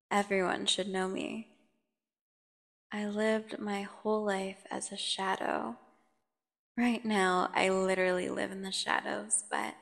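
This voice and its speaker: A woman playing a captive female vampire in an ASMR audio drama, seductive, taunting and menacing, telling her tale with teasing pauses and dropping at times to a near whisper. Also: medium pitch, vocal fry. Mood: proud, bitter. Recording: long hall-like reverb.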